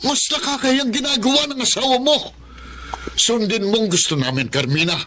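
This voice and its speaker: A man's voice: extremely high pitch, brisk, a crowd in the background. A man with a Filipino accent playing an indignant, domineering father in a radio drama. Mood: outraged. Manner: indignant, commanding. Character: outraged, domineering father of a betrayed daughter.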